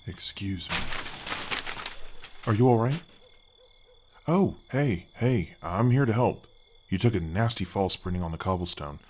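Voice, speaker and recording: Man voicing a gentle, very deep-voiced gargoyle. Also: expressive, slight background noise. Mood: concerned. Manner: halting, friendly, reassuring, chatty. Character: gentle, helpful male gargoyle, ancient temple guardian. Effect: deep voice effect. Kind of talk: ASMR fantasy roleplay.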